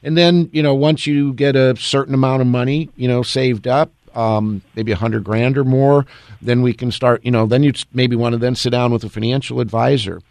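A man's voice: medium pitch, fairly even intonation, normal speed, creaky, darkish tone, dry recording, studio quality. Upbeat older man hosting call-in radio. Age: 60s. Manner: upbeat, folksy, joking. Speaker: radio show host, attorney.

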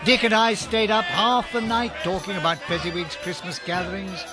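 An actor playing a remorseful, halting old miser in a theatrical radio drama. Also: male voice, high-pitched, steady tone, crowd noise, close to an everyday voice. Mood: fond, earnest. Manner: emotional, halting, stammering. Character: remorseful old miser revisiting his own past. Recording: music behind the voice.

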